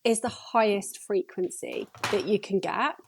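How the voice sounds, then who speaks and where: A woman's voice: mid-range voice, steady tone, a little slow, slight background noise. A woman speaking as a podcast guest, enthusiastic and encouraging in casual conversation. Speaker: podcast guest, energy healer.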